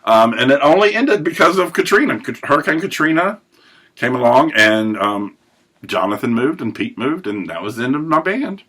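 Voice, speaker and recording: A man hosting a recovery podcast, telling his life story in a rambling, self-correcting way with a big, loud voice. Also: mid-range voice, big pitch swings, normal speed, husky. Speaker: podcast host. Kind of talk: personal recovery monologue.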